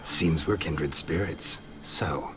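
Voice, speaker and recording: A man playing a monster hunter in a fantasy video game, a gravelly-voiced figure who is at times possessed by a rakish ghost. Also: rumbling low, slow pace, over music. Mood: rakish, flirtatious. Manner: dry and deadpan, turning florid and flirtatious when possessed. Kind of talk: video game cutscene dialogue.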